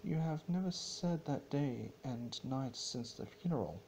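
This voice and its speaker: A man's voice: mid-range voice, lively intonation, measured pace, darkish tone, a little hiss or hum, pitched above the performer's own voice, poor audio. A man voicing a concerned, firm younger pony princess, earnest and exasperated, in a dramatic story reading. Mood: pleading.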